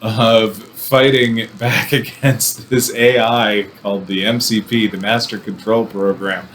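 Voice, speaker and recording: A man's voice: fairly low pitch, steady tone, takes it slowly, a bit bright, a little hiss or hum. A man giving casual, enthusiastic film commentary in an effusive, digressive way, with playful mock-combative asides. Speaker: film reviewer presenting a show.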